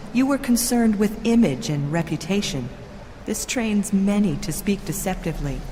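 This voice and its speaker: A serene, low-voiced royal ruler. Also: female voice, darkish tone. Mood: gentle, assured. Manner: measured, formal, instructive. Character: serene, solemn female royal under-ruler of a heavenly city. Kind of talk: audio drama acting. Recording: long hall reverb with music behind.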